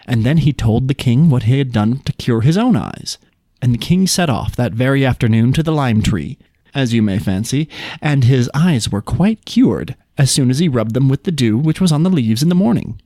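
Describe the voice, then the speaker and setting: A man narrates a folk tale in the manner of a podcast storyteller. His voice sits at a medium pitch, recorded very dry and close. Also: animated, moderate pace, mellow, no room sound. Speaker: podcast host.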